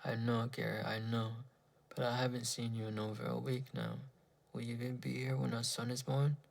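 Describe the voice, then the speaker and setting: A man reads the part of a lonely pregnant wife in a dramatized horror tale. The delivery is pleading and resigned but fond. The voice is husky and full of gravel. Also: mid-range voice, level tone, moderate pace. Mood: hurt, pleading. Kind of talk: dramatized horror narration dialogue.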